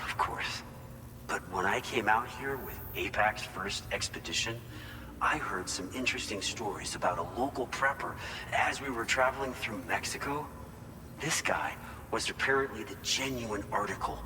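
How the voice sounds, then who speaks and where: An actor playing an earnest, grateful facility staffer in a video game cutscene, speaking in a measured, polite, explanatory way. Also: male voice, mid-range voice, big-room sound, background noise, close to an everyday voice. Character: earnest male facility staffer briefing a porter, grateful and hopeful.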